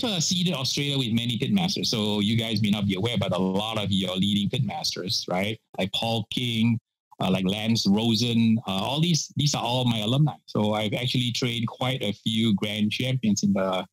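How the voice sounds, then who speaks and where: A man's voice: fairly low pitch, moderate pace, no echo, rough recording. A man in his sixties from Malaysia, a pitmaster guest telling stories in a casual podcast interview with lots of fillers. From Malaysia (Penang). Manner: chatty storyteller, many "uh" fillers, laughs often.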